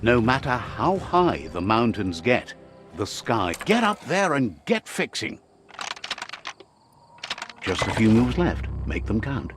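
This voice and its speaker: A man's voice: mid-range voice, very animated, slow pace, pauses often. A man narrating a video game in a wry storybook style, guiding the player along. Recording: music playing under the voice. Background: game music.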